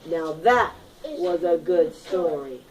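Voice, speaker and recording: A woman teacher reading a picture book aloud to her class in an expressive, dramatic manner, leaning on key words and prompting children to repeat. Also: lowish voice, slow, a little hiss or hum, poor audio. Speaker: primary school teacher.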